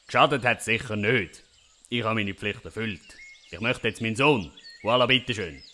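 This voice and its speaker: A man's voice: fairly low pitch, moderate pace, bright, forceful tone. A man playing a blunt, impatient, henpecked father with a Swiss German accent in a comic audio drama. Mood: matter-of-fact. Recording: faint birdsong and outdoor noise.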